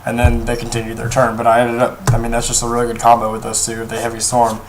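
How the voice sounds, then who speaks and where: A young man with a hoarse voice, talking casually through a card game deck, rambling with many pauses and restarts. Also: mid-range voice, monotone, noisy background. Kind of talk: casual deck profile talk. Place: indoors at a table, off camera.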